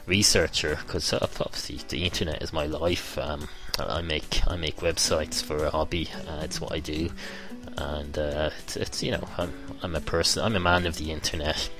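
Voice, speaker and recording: A man's voice: rumbling low, steady tone, normal speed, over music. A man hosting a podcast, talking through a rambling comic monologue with a sarcastic tone, many ums and restarts, and the odd swear word. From Ireland. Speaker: podcast host.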